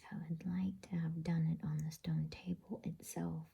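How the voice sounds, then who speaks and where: Scornful witch queen for a bedtime tale read by a woman: calculating, hushed, creaky, breathy whisper. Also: low voice, flat delivery, moderate pace, full character voice. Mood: cold, calculating. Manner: imperious, calculating.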